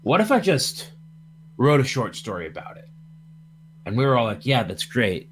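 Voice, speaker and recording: A man's voice: mid-range voice, sing-song, normal speed, slight background noise. A man hosting a livestream podcast over computer voice chat, chatting casually in a rambling, jokey way. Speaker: podcast host.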